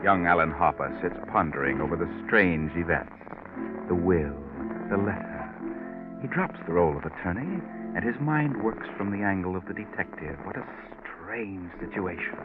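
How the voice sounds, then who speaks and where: An actor playing a sly, ominous narrator in a 1940s radio mystery, speaking in a knowing, dramatic way. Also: male voice, low-pitched, animated, normal speed. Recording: tinny, band-limited 1940s radio broadcast. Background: music.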